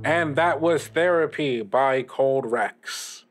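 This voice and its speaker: A man's voice: medium pitch, takes it slowly, music in the background, close to an everyday voice. Man playing a rambling, chuckly radio DJ. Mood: cheerful, amused. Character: friendly young small-station radio DJ named Lil Harry. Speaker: radio host. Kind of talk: improvised casual radio call-in show.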